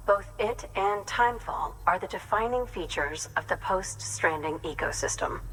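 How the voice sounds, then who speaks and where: Game role, for an actress: erudite female researcher, lowish voice, formal expository delivery, grateful temper. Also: a bit bright, slight background noise, lightly acted, rough recording. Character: studious, grateful female scientist researching tar and extinction. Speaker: video game character. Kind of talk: dramatic game dialogue, expository.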